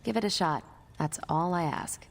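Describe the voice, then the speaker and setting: A woman's voice: lowish voice, normal speed, creaky, a little hiss or hum. A woman voicing a friendly, earnest superhero in a video game, casual and encouraging. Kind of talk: video game cutscene acting. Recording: reverberant hall.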